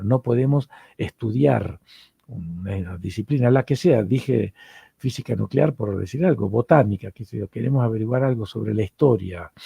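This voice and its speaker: Middle-aged Argentine male lecturer, dark gravelly voice, dry room, hesitant and repetitive delivery. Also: mid-range voice, no room sound. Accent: Argentine Spanish. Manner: hesitant, repeats words while searching for them. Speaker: psychoanalyst lecturer.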